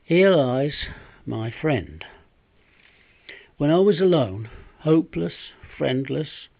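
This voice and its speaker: A humble, wistful old soul who once slept rough tells his tale in rhyme as an elderly man acts it out. His voice is gravelly and creaky, plaintive and tender, measured out with dramatic pauses. The home recording sounds dull and lo-fi. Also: fairly high pitch, animated, exaggerated voice. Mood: choked, solemn. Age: 70s or older. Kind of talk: dramatic recitation of a rhyming monologue.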